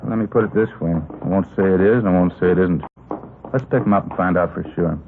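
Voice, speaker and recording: A careful, precise police handwriting expert in 1950s radio drama. He speaks in a deep, brisk, matter-of-fact voice. The old recording is muffled and clearly noisy. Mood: measured. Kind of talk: understated 1950s radio acting.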